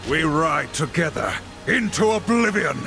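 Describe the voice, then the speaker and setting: A man's voice: a little high, very animated, measured pace, gravelly, heavily acted. A man playing a stern, dutiful horseman warrior in a video game, speaking in a solemn, formal, declamatory manner. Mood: defiant. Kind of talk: game cutscene dialogue. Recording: music behind the voice.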